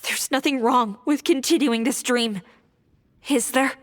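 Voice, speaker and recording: An actress playing a wistful, grieving young woman in a video game, with a breathy voice. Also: high voice, unhurried. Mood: wistful, resolved. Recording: long dreamlike hall reverb.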